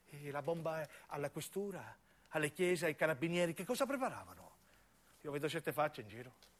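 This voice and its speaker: A man playing a grave, troubled male activist, speaking in a brooding, measured way in a radio play. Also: fairly high pitch, big pitch swings. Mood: grave, foreboding.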